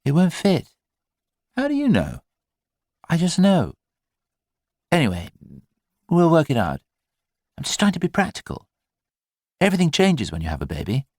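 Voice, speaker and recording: An anxious, gentle new mother acted out by a male narrator in an audiobook. The voice is lifted in pitch and matter-of-fact, slightly defensive. The recording is very dry. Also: huge pitch swings, moderate pace, pauses often, no room sound. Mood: doubtful, insistent. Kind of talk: audiobook character dialogue.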